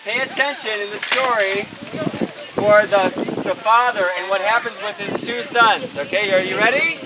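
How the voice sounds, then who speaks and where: A man hosts an open-air children's show as a pastor and emcee. He asks simple questions and repeats the answers back. The recording is heavy with outdoor noise and traffic rumble. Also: normal speed.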